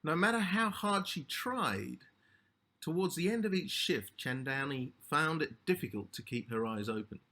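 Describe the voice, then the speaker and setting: A man narrating a literary short story as its author, in measured, deliberate phrases with a slightly husky voice. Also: a little high, big pitch swings, sharp tone.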